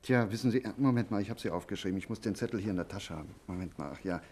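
Fast-talking, husky, fussy hotelier. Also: male voice, lowish voice, darkish tone. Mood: flustered. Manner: polite, fumbling, defensive. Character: courteous, evasive hotel owner, former actor, fussy. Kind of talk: 1950s German radio drama acting.

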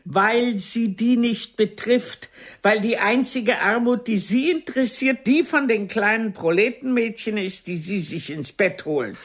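An actress playing an aging, proud and wounded stage diva in a German radio play, with a deliberate, cutting, theatrical delivery. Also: female voice, fairly high pitch. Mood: bitter, accusing.

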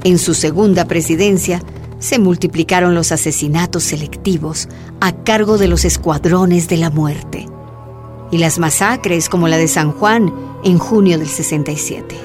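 A woman's voice: fairly low pitch, measured pace. A woman reading scripted narration for a radio drama. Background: music.

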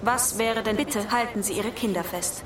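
Radio drama: actress as sincere cardboard announcer woman, middle pitch, courteous, heard from afar in an echoing space. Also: moderate pace, lightly acted. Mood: measured, polite. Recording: roomy, distant, noisy ambience.

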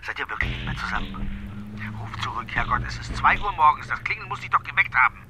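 A man's voice: mid-range voice, very animated, quick pace, noisy background, close to an everyday voice. A man acting in a radio drama as an agitated, impatient character who curses and pleads urgently. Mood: agitated, pleading. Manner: impatient, cursing.

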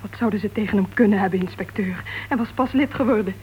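An actress playing a distraught, plaintive club committee member in a Dutch radio play. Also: female voice, mid-range voice, fast talker, natural voice. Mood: shaken, explaining. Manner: plaintive, dramatic, sighing exclamations. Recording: dull, noisy old radio play recording.

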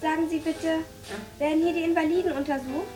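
High-voiced, earnest village girl. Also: steady tone, a little fast, warm tone, faint music behind. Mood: polite, frank. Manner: polite, frank, childlike questioning. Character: earnest young village girl, protective older sister. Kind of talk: radio drama acting. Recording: noisy ambience behind dialogue.

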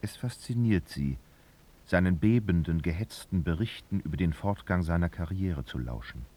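A man's voice: low-pitched, expressive, moderate pace, dark tone, husky. A man narrating a literary radio drama, reading expressively and dramatically.

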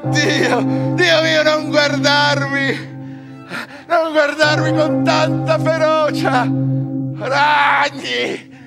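An actor playing a damned scholar in a stage tragedy, declaiming in terrified, anguished cries and pleas. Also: male voice, extremely high pitch, flat delivery, drawn-out words, gravelly, full character voice. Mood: raving terror. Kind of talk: theatrical verse tragedy acting for radio. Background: dramatic music.

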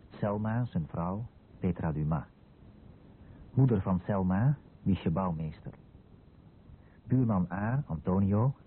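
A man formally announcing the cast of a radio play in a measured, deliberate manner. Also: sits low. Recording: muffled narrow-band old radio recording, noisy.

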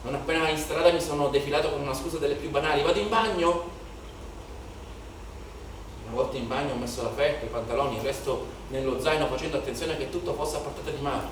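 A man acts out a young street rioter in a theatrical monologue, chanting his account in obsessive lists. His voice sits fairly high and rings through a very reverberant space. It is picked up from a distance under clear background noise. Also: fast, close to an everyday voice. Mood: hushed, secretive. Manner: incantatory, repeating phrases in lists. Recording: echoey, noisy live theatre recording from afar.

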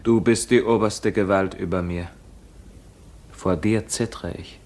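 An actor playing a tormented, bewildered young prisoner in a radio play, speaking in a halting, declamatory way. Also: male voice, lowish voice, fairly even intonation, a little mellow. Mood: fearful, solemn. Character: tormented imprisoned young man, feverish, bewildered, brooding. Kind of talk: classic German radio play acting.

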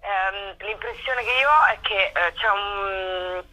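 A woman in a casual interview, speaking in a rambling, halting way with self-deprecating irony, as a comic performer. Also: mid-range voice, sharp tone, low-quality recording. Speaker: comic actress and writer, interview guest.

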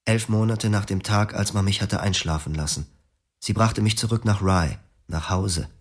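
A man narrating his own story in a radio drama in a quiet, reflective way. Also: fairly low pitch, normal speed. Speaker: first-person narrator.

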